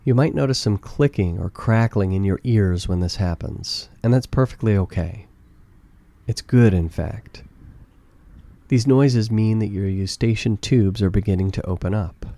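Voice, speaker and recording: Guy doing a guided meditation, really soft mellow low voice, calm and soothing. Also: a little slow, no room sound. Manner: calm, gentle, soothing instruction.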